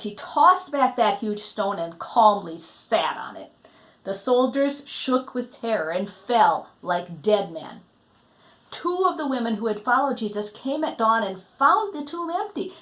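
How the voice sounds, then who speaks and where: A woman reading a Christmas storybook aloud in an expressive, dramatic way, letting phrase endings fall hushed. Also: a little high. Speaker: storybook reader.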